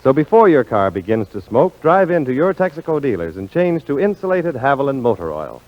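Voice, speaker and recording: A man's voice: medium pitch, sing-song, a little fast. A man working as a radio announcer in a polished, showmanlike 1940s style, reading scripted ads and introductions. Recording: dull, lo-fi 1940s radio broadcast.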